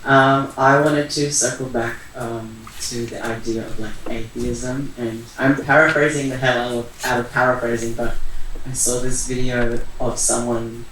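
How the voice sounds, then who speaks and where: A young man from Iran speaking casually and personally at a community talk, halting as he searches for words. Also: mid-range voice, level tone, a bit bright. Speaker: panel speaker. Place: echoey event venue. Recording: very echoey room, distant mic, faint noise.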